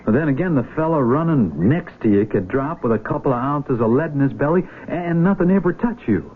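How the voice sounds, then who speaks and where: A man's voice: medium pitch, expressive, fairly quick, close to an everyday voice. A man acting an eager young Union Army volunteer in a 1970s radio drama, with rural American speech and a folksy, enthusiastic manner. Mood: uneasy, pondering. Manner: folksy, enthusiastic, drawling country talk. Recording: noisy, tinny vintage radio broadcast recording.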